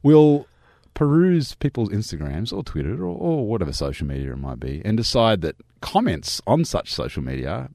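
A man with a slightly croaky voice, chatting and mocking the news as a radio co-host. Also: on the low side, very animated, normal speed, dark tone, dry recording, clean studio sound. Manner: chatty, mocking, laughs often. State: croaky voice from yelling. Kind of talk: casual radio chat.

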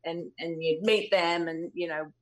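A woman's voice: on the low side, fairly even intonation, a little slow. A woman in her thirties from New Zealand, a musician answering interview questions in a thoughtful, hesitant way with many fillers.

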